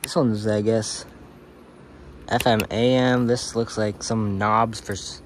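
A man's voice: lowish voice, little pitch movement, slow. A young man chatting casually in a tentative way while co-hosting an unscripted gadget review at home. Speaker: co-host of gadget review vlog. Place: small carpeted room in a house. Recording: noisy handheld home recording.

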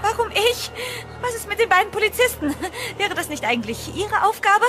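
A woman's voice: very high voice, sing-song, normal speed. Woman acting a frightened heroine over music. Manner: dramatic, suspenseful. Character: frightened young woman narrating her hotel horror ordeal. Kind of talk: German horror audio drama narration and acting. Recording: music behind the voice.

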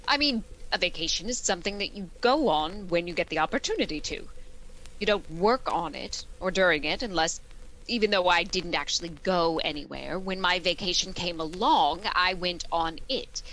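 Lady playing a dry witty teacher in an old radio comedy, voice a little low, deadpan jokes. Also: moderate pace, a little hiss or hum, poor audio. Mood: polite, evasive. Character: wry, wisecracking adult female English teacher.

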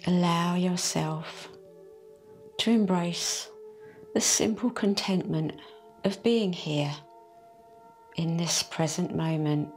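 Calm lady talking thru a meditation, real slow + soothing, faint music behind. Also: lowish voice. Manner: slow, soft and soothing, drawn-out phrases. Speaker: meditation teacher. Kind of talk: guided meditation.

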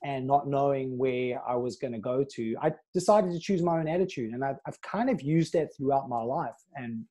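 Mellow-voiced middle-aged man from South Africa. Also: mid-range voice. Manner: earnest, steady storytelling with pauses and fillers. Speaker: podcast guest. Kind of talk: candid life story in a conversation. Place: small room with a plain wall. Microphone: wired earbud mic on a video call.

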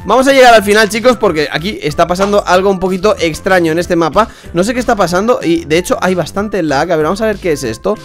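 Excitable young man, high voice. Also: quick pace, few pauses, faint music behind. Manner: excitable, swears and shouts often. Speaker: gaming channel host. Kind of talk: casual let's play chat.

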